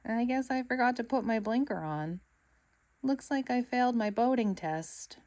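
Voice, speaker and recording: An earnest young cartoon sponge, played by a woman reading a storybook, speaking in a raised pitch. The delivery is dramatic and full of wide-eyed reverence, eager and easily excited. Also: moderate pace, no room sound, close to an everyday voice. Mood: sheepish.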